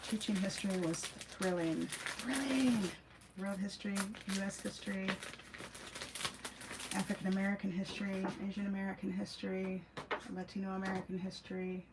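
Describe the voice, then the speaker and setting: A woman's voice: medium pitch, fairly even intonation, moderate pace. A woman giving a casual, musing self-help talk as a host, rambling through asides and emphatic repeats. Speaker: host, former teacher. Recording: clearly noisy amateur recording.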